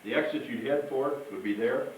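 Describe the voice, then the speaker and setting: A man's voice: medium pitch, brisk. A man presenting as a firefighter, giving a folksy, instructive safety talk to children and often checking in with them. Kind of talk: interactive safety talk to schoolchildren. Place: large echoey room with an audience. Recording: very echoey room, distant, faint noise.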